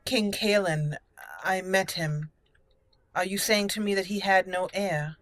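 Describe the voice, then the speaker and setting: A woman's voice: mid-range voice, steady tone, a little slow, dry recording. A woman hosting a roleplaying let's play, speaking in character and commenting as she plays. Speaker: gaming channel host, player. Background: game music.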